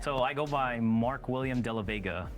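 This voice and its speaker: A man's voice: mid-range voice, moderate pace. A man in casual group conversation, answering questions as a contestant.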